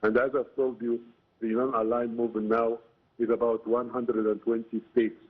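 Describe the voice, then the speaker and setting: Phone-like sound, man, Egyptian accent, rough husky voice, halting explanations, academic interview guest. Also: medium pitch, flat delivery. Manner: halting, explanatory, often says "you know". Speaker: political science professor, interview guest. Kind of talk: broadcast interview commentary. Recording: muffled, narrow phone-like line.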